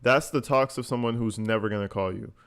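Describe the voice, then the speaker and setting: A man's voice: on the low side, brisk, a bit bright, clear, resonant tone. A man giving casual reaction commentary as a host, incredulous and mocking, swearing freely. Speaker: host reacting to recorded calls.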